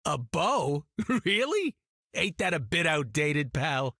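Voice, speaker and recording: A brash gunslinging game hero. His voice is forceful and fairly high, animated in pitch, with a slangy, mocking edge. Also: slow, no echo, studio quality, full character voice. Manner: teasing, slangy. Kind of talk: video game dialogue.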